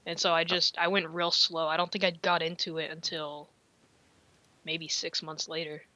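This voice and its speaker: Bright, low-pitched woman host, dull mic. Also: flat delivery, normal speed, no room sound. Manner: rambling, self-deprecating, laughs often. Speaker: podcast host. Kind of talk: casual chat.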